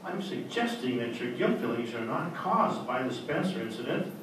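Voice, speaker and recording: An actor playing a probing, reasoning doctor in an old-time radio drama, formal and deliberate in his explanations. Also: medium pitch, very animated, lightly acted. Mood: suspicious, firm. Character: probing, reasoning male doctor, calmly accusatory. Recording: very echoey hall, distant mic, clear noise.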